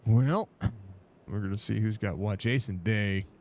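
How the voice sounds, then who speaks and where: A man with a stuffy, congested voice calling a live sim race, excited and rambling with lots of fillers. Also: fairly low pitch, a little slow, a little hiss or hum. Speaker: sim racing broadcaster.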